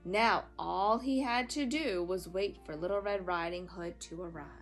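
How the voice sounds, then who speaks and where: A woman storyteller reading a children's tale in an expressive, dramatic, emphatic style. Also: lowish voice, unhurried, soft background music.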